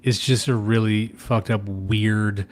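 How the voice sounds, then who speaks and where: A man's voice: fairly low pitch, fairly even intonation, takes it slowly. A man co-hosting a casual livestream chat, sardonic and rambling, swearing casually. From Canada. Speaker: podcast co-host.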